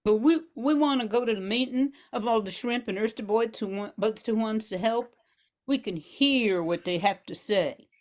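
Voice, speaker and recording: An older woman acts a practical bayou woman in an amateur radio drama. Her voice sits fairly high. She hands out instructions plainly, tripping a little over the script. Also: moderate pace, darkish tone, lightly acted. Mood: decisive. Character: Mary, practical bayou woman giving orders to shrimpers.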